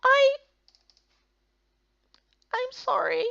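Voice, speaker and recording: A woman voicing an upset, clingy young boy in a fantasy game story, whiny, pleading and loud. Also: extremely high pitch, fairly even intonation, very slow, gravelly, highs cut off, voice pushed up, full character voice. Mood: sheepish. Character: upset, clingy young boy who lost his pet.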